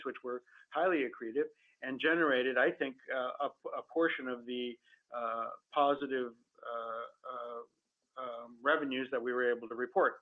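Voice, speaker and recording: A man speaking as a company executive on an earnings call, answering in a halting, careful way with many repeated words, over a phone line. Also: medium pitch. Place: conference call. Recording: tinny telephone conference line.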